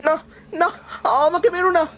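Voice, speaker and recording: A man's voice: extremely high pitch, little pitch movement, normal speed, fairly bright tone, slight background noise, pitched above the performer's own voice. A man voicing a frightened traveler in a horror cartoon, panicked and pleading, repeating himself. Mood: terrified pleading. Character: terrified male traveler pleading for his life.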